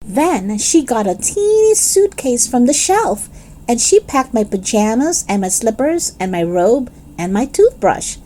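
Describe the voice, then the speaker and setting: A woman narrates a children's chapter book in a fairly high voice. Her pitch swings widely as she tells it in a young child's first person, with dramatic, animated delivery. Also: measured pace, slight background noise. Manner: animated, dramatic character reading.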